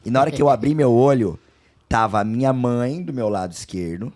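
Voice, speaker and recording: A hoarse Brazilian man with a cold tells a story on a podcast. He talks fast, swears freely and laughs through the telling. His pitch sits in an ordinary middle range. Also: lively intonation, darkish tone. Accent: Brazilian Portuguese. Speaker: guest, plastic surgeon. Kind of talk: casual podcast chat, storytelling.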